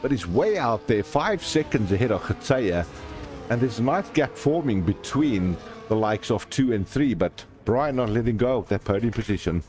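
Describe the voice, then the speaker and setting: A man's voice: mid-range voice, lively intonation, moderate pace, darkish tone, soft background music. A man hosting a live sim racing stream, calling the race and joking with viewers in the chat. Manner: jokey, chatty, reads viewer comments aloud.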